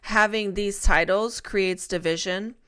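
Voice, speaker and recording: A woman's voice: medium pitch, fairly even intonation, unhurried, fairly bright tone, smooth. A woman leading a casual panel discussion, asking questions in a conversational way with many fillers.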